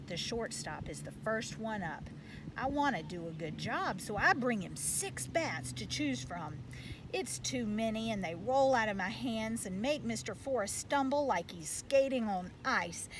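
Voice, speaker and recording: A woman reading a children's storybook aloud in an expressive narrating style, like a school educator. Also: a little high, recorded from a distance, slight background noise.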